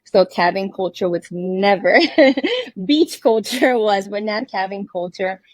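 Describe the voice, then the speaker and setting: A woman's voice: mid-range voice, lively intonation, unhurried, darkish tone, no room sound. A woman from Cuba, a cabin rental owner, talking casually as a guest, laughing often and restarting her sentences. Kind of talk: casual conversational interview. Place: inside a cabin under renovation.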